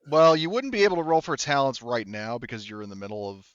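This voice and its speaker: A man's voice: mid-range voice, very animated, quick pace, clean tone, no room sound, highs cut off. A man running a tabletop game as game master, narrating casually and swearing freely.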